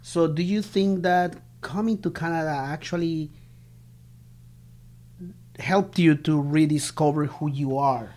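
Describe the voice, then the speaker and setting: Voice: mid-range voice, steady tone, darkish tone. An interviewer from Colombia asking questions in an encouraging way, often restarting phrases. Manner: encouraging, asks in halting restarts. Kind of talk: casual interview.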